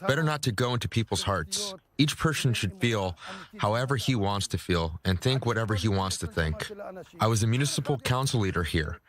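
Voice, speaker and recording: A man's voice: lowish voice, expressive, brisk, crowd noise. A man who was a municipal council leader, giving firm, opinionated interview answers.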